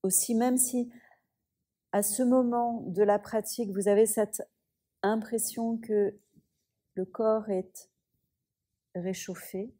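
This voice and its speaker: A woman yoga teacher guiding a yoga nidra relaxation, speaking softly and soothingly with long pauses. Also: mid-range voice, steady tone.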